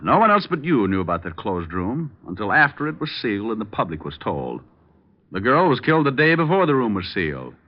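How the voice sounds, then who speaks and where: A man's voice: fairly low pitch, very animated, brisk. A man acting a wisecracking, unflappable private detective in an old-time radio crime drama, with wry, flippant delivery. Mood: cool, assured. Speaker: lead actor and narrator. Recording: dull vintage radio recording.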